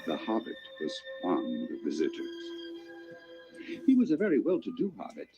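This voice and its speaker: A man narrating a fantasy radio play as a storyteller, measured and expressive, savoring each detail. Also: low voice, vocal fry, lo-fi sound, full character voice. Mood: storytelling. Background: music.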